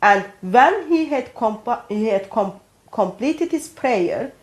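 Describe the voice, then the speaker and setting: A woman from Turkey with a Turkish accent, speaking careful, halting non-native English as she co-hosts and explains references. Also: fairly high pitch, animated. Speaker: co-host. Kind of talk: explanatory discussion with read-out references.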